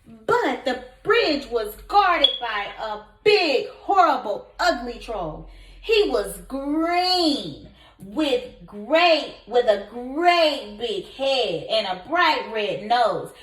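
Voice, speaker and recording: A woman teacher reading a story aloud to young children in an expressive, deliberate way, prompting them with questions. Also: fairly high pitch. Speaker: kindergarten teacher. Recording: echoey room.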